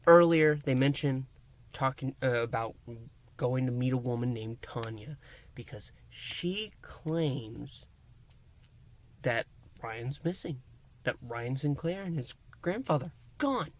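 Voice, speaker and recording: A man hosting a review, recapping a story in a theatrical, emphatic way with dramatic pauses and hushed words. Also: mid-range voice, expressive, slight background noise. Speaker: podcast review host.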